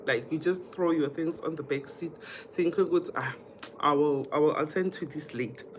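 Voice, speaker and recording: A woman's voice: low-pitched, moderate pace, slight background noise. A woman from South Africa with a South African accent, hosting a casual livestream, chatting directly to viewers and often repeating herself. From Mpumalanga, South Africa. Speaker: livestream host. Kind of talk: casual live chat, reading comments and telling anecdotes.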